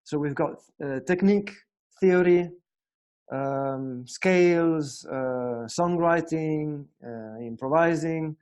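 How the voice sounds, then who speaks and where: Italian man, young, guitar teacher, slightly high and mellow voice, halting and full of fillers. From Italy. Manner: hesitant, many fillers and restarts. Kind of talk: casual spoken lesson.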